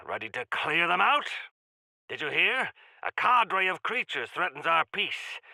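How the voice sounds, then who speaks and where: A pompous yet genial game quest-giver, with a piercingly bright, forceful voice that swoops dramatically from phrase to phrase. He speaks in florid, courtly flourishes with dry asides, and the sound is close and dry. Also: on the high side, gravelly, no room sound, exaggerated voice. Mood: grateful, grand, then dramatic, urging. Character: genial pompous male quest-giver of a mountain order, theatrically grateful. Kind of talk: theatrical video game character acting.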